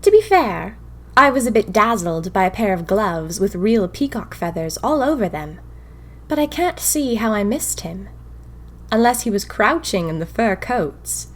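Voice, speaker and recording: A woman playing a whimsical young 1920s typist who confides in her diary, narrating audio fiction in a wry, expressive way with dramatic pauses. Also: on the high side.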